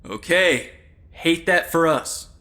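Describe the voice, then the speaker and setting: A man's voice: a little high, expressive, slow, bright, forceful tone, slight background noise, heavily acted. Man as a casual, wry investigator sidekick. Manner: casual, dry quipping. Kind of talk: supernatural comedy audio drama acting.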